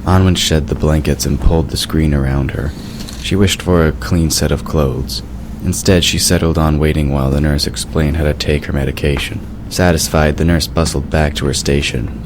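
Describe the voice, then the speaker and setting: A man narrates a fantasy audio drama in an extremely low voice. The delivery is scripted storytelling, with clear noise in the background. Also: steady tone, a little fast.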